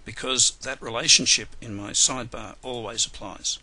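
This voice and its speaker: A man losing his voice, hoarse and chatty, teaching a live software webinar with dry, self-mocking humor. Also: medium pitch, fairly even intonation, moderate pace, poor audio. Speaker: software trainer.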